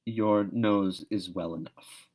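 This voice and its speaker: A man's voice: lowish voice, fairly even intonation, slow pace, darkish tone. A man voicing a stern, no-nonsense older woman guardian from a storybook, scolding sharply and curtly.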